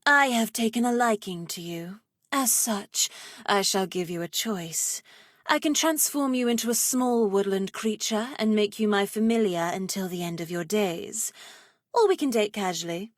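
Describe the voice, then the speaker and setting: A woman's voice: mid-range voice, no echo, natural voice. Woman playing a sly, theatrical witch headmistress, dry close recording. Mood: flirtatious, sly. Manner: arch, deliberate, theatrical, flirtatiously sinister. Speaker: video game character voice. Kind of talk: scripted comedic game voice lines.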